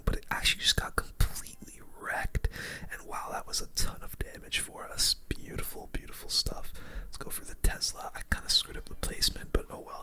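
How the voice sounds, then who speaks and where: An ASMR gaming host speaks entirely in a soft, breathy whisper with a very bright, sibilant edge. The delivery is casual gameplay commentary, peppered with slang and mild swearing.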